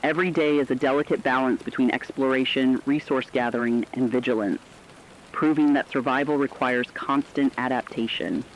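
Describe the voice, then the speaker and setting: A man playing a serious radio reporter, delivering scripted emergency narration in a measured way. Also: mid-range voice, fairly even intonation, a little hiss or hum, lightly acted, rough recording. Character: serious male radio reporter covering a werewolf catastrophe.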